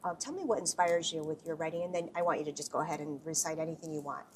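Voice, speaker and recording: Effusive lower-voiced woman host. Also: racing, very noisy. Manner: effusive, enthusiastic. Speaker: show host. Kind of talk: casual interview.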